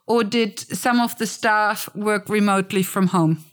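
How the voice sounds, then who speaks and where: A woman hosting a podcast, introducing the episode and asking curious, brisk questions. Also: mid-range voice, steady tone. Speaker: podcast host. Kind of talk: hosting and interviewing.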